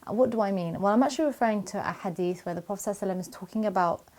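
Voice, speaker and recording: A woman teaching Quran memorization, answering viewer questions in a calm, encouraging, measured way, with Arabic religious phrases woven in. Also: medium pitch. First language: not Arabic. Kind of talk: Q&A video talk to camera.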